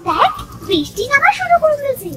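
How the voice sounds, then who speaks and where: A woman voicing a timid, chattering little village girl with a fretful, excitable manner in a children's cartoon. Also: very high-pitched, normal speed, noisy background. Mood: frightened.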